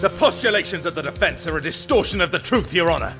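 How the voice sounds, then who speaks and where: A man's voice: a little high, lively intonation, fast talker. A man playing a haughty, skeptical prosecutor in a video game courtroom drama, with formal, disdainful phrasing. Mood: indignant. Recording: faint music behind the voice.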